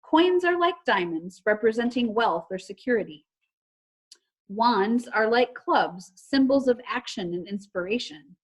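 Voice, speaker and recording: A woman voicing a knowledgeable storybook girl who explains clues in a deliberate, emphatic way. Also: on the high side, lively intonation, husky. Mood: earnest, explaining.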